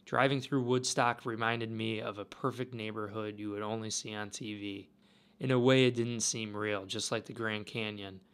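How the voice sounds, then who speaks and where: A man narrating a travel vlog in a calm, wistful, musing way. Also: on the low side, moderate pace, smooth. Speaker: travel vlogger. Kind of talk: reflective voice-over narration.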